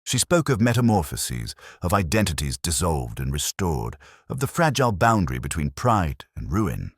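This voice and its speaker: A man playing a brooding, world-weary police inspector who narrates his case in solemn, measured, literary prose, like a melancholy detective audio story. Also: low-pitched, big pitch swings, slightly rough, no echo, studio quality, exaggerated voice. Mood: melancholy, reflective. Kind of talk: scripted first-person detective story narration.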